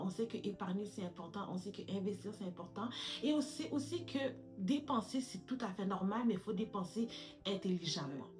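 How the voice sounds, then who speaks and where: Woman in her 30s, advice vlog to camera, mid-pitched voice, soft music underneath. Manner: addresses viewers warmly as girls, sprinkles English words. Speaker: lifestyle YouTuber.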